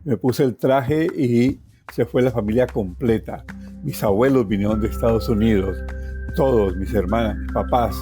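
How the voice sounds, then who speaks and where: A man with a Colombian accent recounts his own life in an expressive, reflective way, repeating phrases for emphasis. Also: mid-range voice, moderate pace, over music. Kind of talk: personal oral storytelling.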